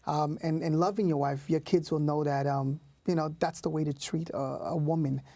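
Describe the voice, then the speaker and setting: A man's voice: fairly high pitch, steady tone, moderate pace, warm tone. A man in his thirties, born in Puerto Rico, talking casually as an interview guest with many fillers and restarts. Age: 30s. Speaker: youth leader.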